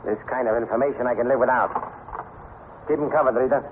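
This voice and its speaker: An actor playing a short-tempered, menacing American gangster boss in a 1950s radio crime drama, sneering and sarcastic. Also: male voice, mid-range voice, fairly even intonation, fast, close to an everyday voice. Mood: sardonic. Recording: muffled, faintly noisy 1950s radio recording.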